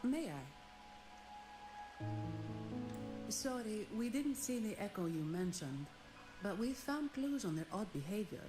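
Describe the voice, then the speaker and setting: A woman's voice: medium pitch, sing-song, slow pace, long pauses. A woman playing a composed, businesslike young investigator in a video game, formal and matter-of-fact. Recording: echoey voice under loud music.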